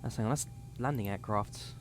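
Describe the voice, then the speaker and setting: A man's voice: on the low side, normal speed, a little hiss or hum. A young man with a slightly worn voice, casually talking viewers through setting up a flight sim cockpit and reading out codes and numbers. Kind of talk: casual live commentary while setting up a flight.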